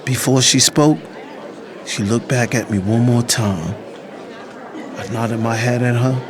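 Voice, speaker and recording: A man's voice: mid-range voice, measured pace, crowd noise, close to an everyday voice. An actor playing a resolute, self-sacrificing younger brother who narrates his story in the first person. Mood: narrating, grave. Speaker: audiobook narrator.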